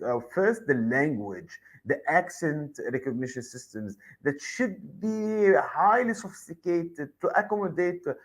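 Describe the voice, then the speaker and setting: A man answering interview questions as an expert guest, explaining his points one by one in a measured way. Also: a little high, rough recording.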